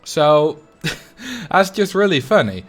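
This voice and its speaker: A man with a Dutch accent, a native Dutch speaker, casually chatting with his livestream viewers and answering chat in a relaxed, rambling way with frequent ums and light laughs. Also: sits high, takes it slowly, soft background music. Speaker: streamer.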